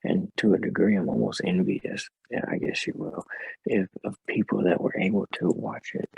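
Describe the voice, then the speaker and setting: A man with a naturally deep voice that sounds a bit muffled and slurred, chatting casually as an interview guest. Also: moderate pace, gravelly, a little mellow, husky, no room sound. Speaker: rapper guest.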